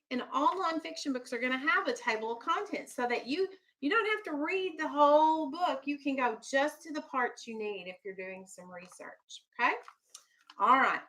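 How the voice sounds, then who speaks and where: High, bright-voiced woman teaching young children. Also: rough recording. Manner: instructive, simple explanations, checks understanding with questions, firm with children. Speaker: elementary school teacher. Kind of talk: classroom read-aloud lesson with questions to young children.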